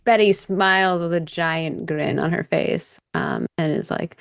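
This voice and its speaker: A woman's voice: sits low, big pitch swings, unhurried, vocal fry. A woman casually explaining her character's moves as a player in a tabletop game. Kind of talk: casual game chat and narration.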